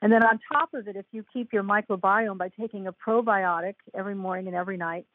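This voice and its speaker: An older woman talks openly as an interview guest, chatty and quick to laugh. Her voice comes through a thin, phone-like line. The sound is dry and close. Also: mid-range voice, steady tone, no room sound. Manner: chatty, frank, laughs easily. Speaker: guest, author. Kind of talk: casual interview chat.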